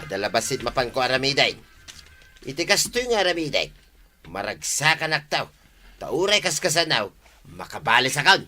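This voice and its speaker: A man's voice: medium pitch, sing-song, normal speed, pauses often, pushed and bright, heavily acted. A man with an Ilocano accent playing a stubborn, vengeful village farmer who grumbles, in a radio comedy drama. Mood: scheming. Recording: music behind the voice.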